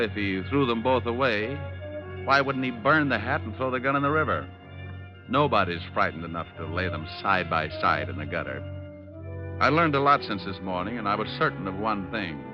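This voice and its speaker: Low-voiced wisecracking radio private eye, acted by a man. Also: lightly acted. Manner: wry, flippant, teasing. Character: wisecracking, unflappable tough male private detective. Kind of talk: 1940s-50s radio detective acting and narration. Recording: muffled old radio recording, music behind.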